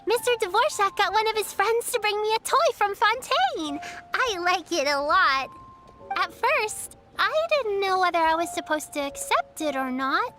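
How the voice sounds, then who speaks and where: Cheerful small girl, very high-pitched, bright, earnest childlike storytelling, game character, music behind. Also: exaggerated voice. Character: cheerful little girl, apprentice of a wise master. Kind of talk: video game voice acting.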